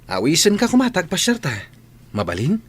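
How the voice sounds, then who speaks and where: An actor playing a cheerful, generous young suitor in a radio drama, with a Filipino accent. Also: male voice, on the high side, huge pitch swings, fairly quick, slightly rough, heavily acted. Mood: eager, playful.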